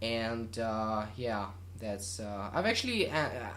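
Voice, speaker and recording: A man's voice: on the low side, sing-song, takes it slowly, a bit bright. A man from Bulgaria, a native Bulgarian speaker, hosting his own gaming channel and chatting loosely through viewer questions with lots of fillers and restarts. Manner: rambling, many fillers and restarts. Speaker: YouTube gaming channel host. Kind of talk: casual Q&A monologue.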